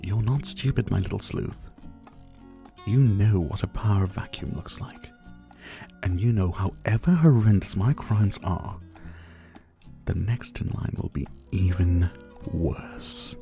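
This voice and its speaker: A man's voice: low-pitched, expressive, slow pace, vocal fry, pauses often, mellow, soft background music, exaggerated voice. A man playing a possessive, flirtatious and condescending rich dictator in an intimate ASMR roleplay, doting on the listener with pet names. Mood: smug, lecturing. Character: possessive, teasing male yandere dictator, rich and menacing.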